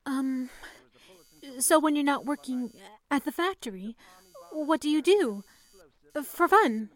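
High-voiced young female telephone operator, played by an actress, dutiful, anxious, caring, in vintage-style radio drama. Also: lively intonation, takes it slowly. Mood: earnest, concerned. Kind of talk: 1930s-style radio play acting.

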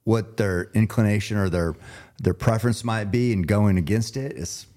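A man with a fairly low voice hosts a relaxed, conversational podcast. He comes across as earnest and agreeable, speaking with open admiration in an unscripted, casual way. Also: moderate pace. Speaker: podcast host.

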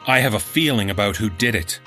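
A man's voice: on the low side, lively intonation, quick pace, pushed and bright, soft background music. A man playing an earnest, stubborn teenage boy in a horror story audiobook. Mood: stubborn, grumpy. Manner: earnest, a little grumpy. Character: teenage boy, stubborn amateur sleuth turned terrified. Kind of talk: first-person horror story character.

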